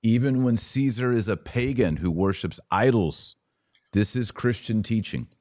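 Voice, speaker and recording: Low-voiced man hosting a podcast. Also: a little slow, a little mellow, no room sound, studio quality. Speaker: podcast host. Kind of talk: conversational religious commentary.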